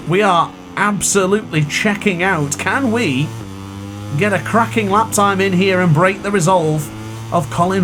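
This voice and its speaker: Man, sore throat, chatty gameplay commentary, excitable, slightly high voice, music playing behind. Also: low-quality recording. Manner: excitable, chatty, exclaims at crashes. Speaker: gaming commentator.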